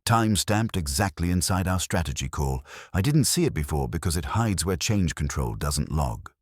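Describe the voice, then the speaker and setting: Guy reading as wary corporate security man, really deep voice, terse and serious, dry studio sound, audiobook mystery. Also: big pitch swings, moderate pace, breathy edge, no echo, heavily acted. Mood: guarded, serious.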